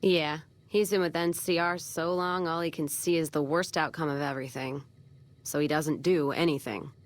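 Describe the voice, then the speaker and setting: An actress playing a tough, foul-mouthed, cynical caravan boss in a video game, blunt and sardonic with folksy frontier phrasing. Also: female voice, low voice, steady tone, moderate pace, no room sound, close to an everyday voice. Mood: resigned. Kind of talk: video game character dialogue.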